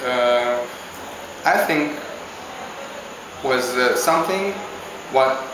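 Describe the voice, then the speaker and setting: A man from Hungary, answering interview questions in a halting, casual way as he searches for words. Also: mid-range voice, noisy background. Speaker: interviewee, visiting traveler. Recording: very echoey with clear background ambience.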